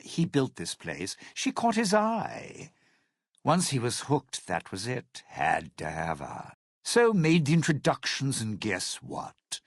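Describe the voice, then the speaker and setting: A man playing a jaded, self-regarding old lounge singer and cynical schemer in a video game, with a gravelly, husky voice and a wry, theatrical, slangy drawl. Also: medium pitch, no echo, clean studio sound, heavily acted. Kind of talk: video game character dialogue.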